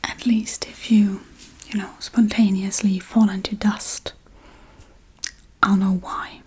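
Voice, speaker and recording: A woman playing a forgetful, darkly playful witch in a soft-spoken, whispery ASMR role-play, murmuring gently and absent-mindedly. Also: mid-range voice, level tone, slow. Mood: reading, muttering. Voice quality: breathy, whispery.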